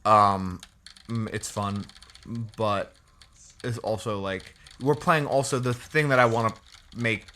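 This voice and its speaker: A man co-hosting a casual gameplay show, relaxed and joking, asking his partner questions. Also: lowish voice, slow pace, slight background noise. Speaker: co-host.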